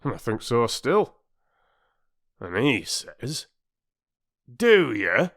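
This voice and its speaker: A man voicing a gruff grown bargeman telling of his own wrongs in rough dialect. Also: medium pitch, sing-song, extremely slow, a bit bright, voice pushed up, exaggerated voice. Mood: aggrieved. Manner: rough dialect, grumbling complaint.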